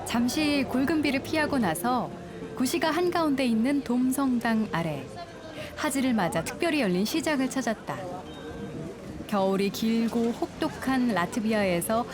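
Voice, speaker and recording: A woman reading a calm, lyrical documentary travel narration. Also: on the high side, normal speed. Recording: studio voice-over with music.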